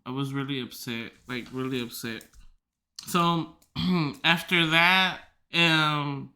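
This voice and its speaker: A man hosting a podcast who tells rambling stories loudly, swearing freely and filling his talk with "like". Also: a little high, slow. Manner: loud, swears freely, filler-heavy rambling. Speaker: podcast host. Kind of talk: casual storytelling chat.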